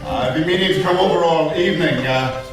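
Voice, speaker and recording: An actor playing a smooth, flirtatious antique dealer with a leisurely, suave manner, in a staged reading. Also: male voice, a little high, brisk, lightly acted. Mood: charming. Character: Riley, smooth, flirtatious antique furniture man. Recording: echoey theatre from far off, music.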